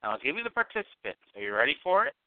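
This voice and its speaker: A male podcast host with a lively, swooping voice, teasing his caller with dry humor. His tone is smooth and clear, but the sound is thin and phone-like. There is no room echo at all. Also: mid-range voice, very fast. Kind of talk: casual phone-in chat.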